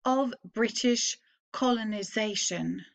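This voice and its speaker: Norwegian teacher reading slowly, higher voice. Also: female voice. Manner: slow, clearly phrased read-aloud with pauses. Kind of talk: slow read-aloud for learners to repeat.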